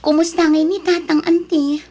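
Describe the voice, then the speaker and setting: A high-voiced, emotive young woman. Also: little pitch movement, moderate pace, warm tone. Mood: soft, caring. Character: young woman, coquettish with her lover, pleading and devoted daughter. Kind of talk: Ilocano radio drama acting.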